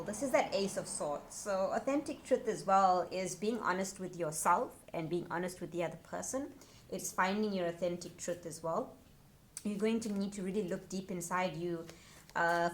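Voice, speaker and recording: A woman giving a casual tarot reading to her viewers, chatty and earnest, her voice a little raspy. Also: on the low side, a little hiss or hum. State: slightly raspy voice. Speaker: tarot reader.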